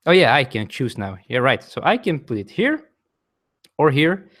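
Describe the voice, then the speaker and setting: A man's voice: medium pitch, very animated, moderate pace, fairly bright tone. A man streaming a board game live from home, explaining the rules step by step and chatting with viewers, often correcting himself, with a non-native English accent.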